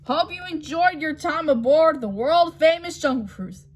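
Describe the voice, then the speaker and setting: A woman's voice: high voice, animated, normal speed, voice pushed up. A woman voicing a showy, cheerful male tour-boat skipper in a storybook, theatrical and full of puns. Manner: theatrical, punning announcer delivery.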